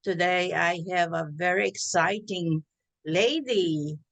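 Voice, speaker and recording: A woman hosting a community talk show interview, halting with many fillers. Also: lowish voice, gravelly, fairly bright tone. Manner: halting, many ums and uhs, chatty with laughs. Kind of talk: casual interview.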